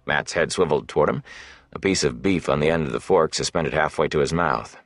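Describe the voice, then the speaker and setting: A man with a very low voice narrates a fantasy novel. His reading is dramatic and scripted, captured close up with a very dry sound. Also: brisk, gravelly, no room sound. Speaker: audiobook narrator.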